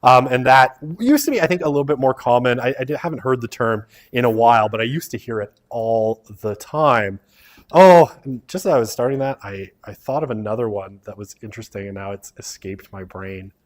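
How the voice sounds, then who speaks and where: A middle-aged man lectures a university sociology class in an auditorium. He talks in a loose, rambling way, pokes fun at himself, and keeps checking in with a quick "right?". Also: medium pitch, lively intonation. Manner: rambling and self-deprecating, frequent "right?" tags.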